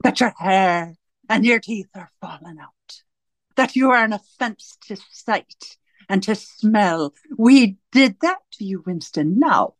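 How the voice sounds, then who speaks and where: A woman playing a menacing, domineering interrogator of a totalitarian regime in a radio drama, speaking in a deliberate, taunting way. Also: medium pitch, expressive, dry recording. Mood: cold contempt.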